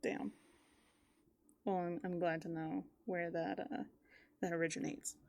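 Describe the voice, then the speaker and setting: A woman playing a playful, easygoing raccoon in an ASMR roleplay, soft-spoken and casual, often dropping into whispers. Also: lowish voice, flat delivery, unhurried, vocal fry, a little hiss or hum. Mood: relaxed, amused. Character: playful, easygoing female raccoon living in a dumpster. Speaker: ASMR host.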